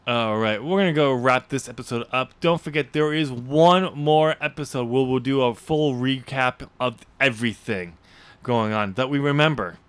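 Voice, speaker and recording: A man with a mid-pitched voice hosts a casual podcast as an author. He talks informally and likes to tease, keeping up a joking banter. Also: moderate pace, smooth, no room sound. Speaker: podcast host and novelist.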